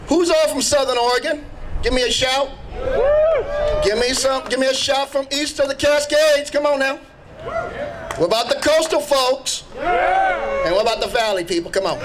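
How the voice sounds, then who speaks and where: Rousing high-voiced man rallying a crowd. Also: fairly bright tone, a little hiss or hum, poor audio. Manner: rousing, calls out to the crowd, preacherly. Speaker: rally speaker. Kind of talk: impassioned political rally speech.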